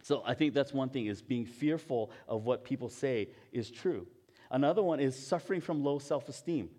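A man's voice: medium pitch, mellow. A man from Hawaii, a pastor, gives a conversational sermon with personal stories. He laughs at himself often and restarts his sentences a lot. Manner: chatty and self-mocking, laughs often at himself; halting, frequent restarts and fillers.

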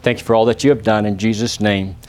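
A man from Texas with a Texan accent, preaching a church sermon and praying, often pausing with "uh" and asking the congregation for an "amen". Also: fairly low pitch, steady tone, a little mellow. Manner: peppers phrases with "amen?" asking the congregation to answer; halting, with many "uh" pauses and restarts. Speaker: visiting preacher.

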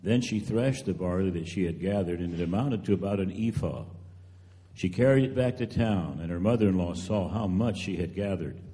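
A man narrating a scripted dramatic scripture reading with measured storytelling delivery. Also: sits low, warm tone. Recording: long hall reverb.

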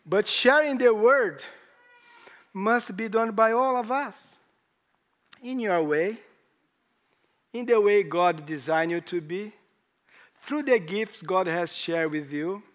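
A hoarse man preaching a sermon in English, speaking in halting phrases with rising emphasis. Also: high-pitched, fairly bright tone. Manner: slow, halting delivery with pauses and rising emphasis; non-native English with grammar slips.

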